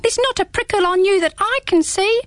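A woman's voice: very high-pitched, fairly quick, dry recording, clean studio sound, voice pushed up. A woman voicing a small, talkative hedgehog in a storybook reading, prim and rambling. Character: small chatty fussy hedgehog. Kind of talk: storybook read-aloud.

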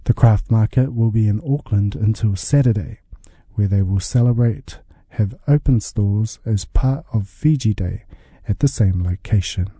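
A man's voice: on the low side, unhurried, warm tone, breathy edge, dry recording. A man reading a scripted radio news report as the reporter.

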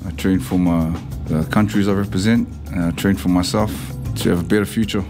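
A man, a pro fighter answering interview questions in a halting way, with many fillers and restarts. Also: low-pitched, fairly even intonation, over music. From Samoa. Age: 30s. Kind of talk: documentary interview. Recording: noisy background ambience.

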